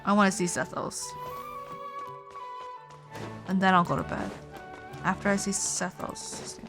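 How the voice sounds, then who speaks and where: A woman with a creaky voice chats casually as she streams a game. She mutters her thoughts aloud, blunt and a little sweary, with soft game music behind her. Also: medium pitch, fairly even intonation, unhurried. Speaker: livestream gamer host. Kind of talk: casual gameplay commentary, thinking aloud.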